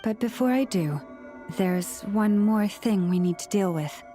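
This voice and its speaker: A woman voicing a gentle young priestess in a video game, soft-spoken, earnest, measured and formal. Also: medium pitch, animated, soft background music, lightly acted. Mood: grateful, solemn. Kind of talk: English video game voice acting.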